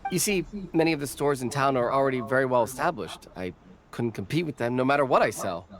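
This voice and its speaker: A woman's voice: rumbling low, lively intonation, fast talker, a crowd in the background. A woman voicing a nervous young snack vendor who stammers when flustered. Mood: eager. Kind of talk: video game voice acting. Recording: game audio with clear music bed.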